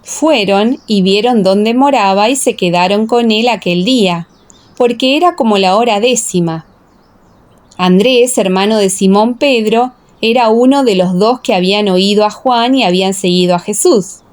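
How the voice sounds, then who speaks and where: A woman narrating a dramatised scripture audiobook in a solemn, measured way. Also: medium pitch, darkish tone.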